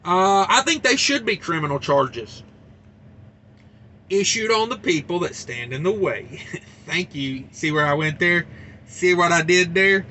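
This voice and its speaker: A man's voice: high voice, expressive, measured pace, pushed and bright, a little hiss or hum. A man hosting a trucking channel, chatting casually about news and reading articles aloud with folksy wisecracks.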